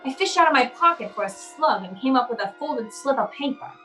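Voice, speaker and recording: A woman's voice: sits high, lively intonation, a little fast, a bit bright, faint music behind, poor audio. A woman playing a cynical, wry private eye, curt and hardboiled, in an old-time radio noir drama. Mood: wry narrating.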